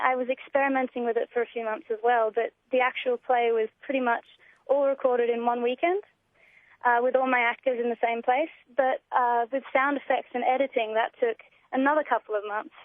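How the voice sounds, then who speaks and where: A young Australian woman talks over a tinny, narrow phone line. Her voice is fairly high and her manner is keen and enthusiastic, like a guest on a casual radio chat. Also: fairly even intonation, a little fast, no echo. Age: young adult. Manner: enthusiastic, gushing. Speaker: interview guest, student writer. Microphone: telephone call.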